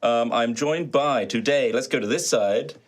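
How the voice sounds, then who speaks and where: A man's voice: mid-range voice, a little slow. A man hosting and game mastering a tabletop game, switching between jokey casual chat and narration. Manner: playful, jokey.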